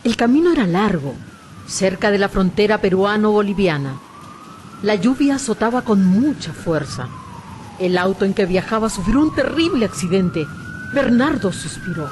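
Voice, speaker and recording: A woman's voice: mid-range voice, huge pitch swings, normal speed, a little mellow, a little hiss or hum. A woman narrating a scripted radio drama in a solemn, melodramatic manner.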